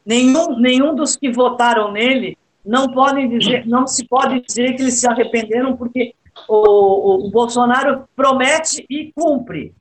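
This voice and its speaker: A woman's voice: on the high side, unhurried, rough recording. A woman with a Brazilian accent speaking as a panelist in a political discussion, with an ironic way of talking.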